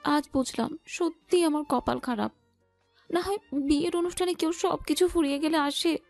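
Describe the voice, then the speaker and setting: A woman's voice: high-pitched, gravelly, darkish tone, dry recording. A woman playing a cheeky, whiny young best friend in an audio story, given to playful, exaggerated complaining. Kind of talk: Bengali audio story acting. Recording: music behind the voice. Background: music.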